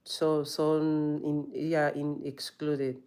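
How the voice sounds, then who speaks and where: A woman's voice: deep voice, level tone, drawn-out words, dark tone, clean tone. A woman yoga teacher in a reflective personal monologue, earnest and rambling, often saying "you know".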